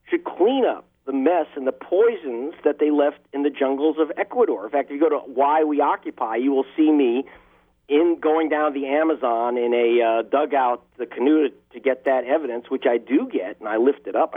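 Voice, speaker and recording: A man's voice: mid-range voice, breathy edge. A man, a journalist, answering interview questions over the phone with impassioned, emphatic delivery. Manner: impassioned, building emphasis on key words. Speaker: investigative journalist guest. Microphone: telephone line. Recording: tinny phone line.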